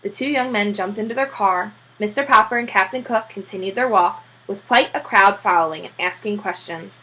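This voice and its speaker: A woman reading a children's story aloud in an expressive, animated teacher's manner. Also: mid-range voice, a little fast, low-quality recording. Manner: expressive, acts out character voices.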